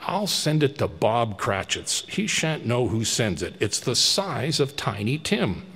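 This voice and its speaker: A man's voice: medium pitch, very animated, measured pace, a bit bright, slightly rough, heavily acted. A man voicing an old repentant miser, speaking in an impassioned, exclamatory way. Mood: giddy, joyful. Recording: long reverberant hall.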